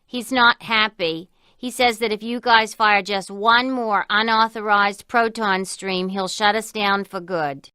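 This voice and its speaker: Bright, sharp, slow-talking sarcastic receptionist. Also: female voice, medium pitch, fairly even intonation, clean tone, no echo, studio quality. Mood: bored, businesslike. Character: wisecracking, world-weary female office receptionist. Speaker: voice actor in a video game. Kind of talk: scripted video game dialogue.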